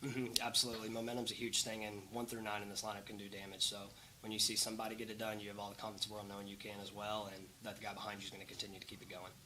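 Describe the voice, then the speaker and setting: A man's voice: fairly low pitch, level tone, rapid-fire, hardly any pauses, background noise. An American man, a baseball player, giving a casual post-game press conference answer.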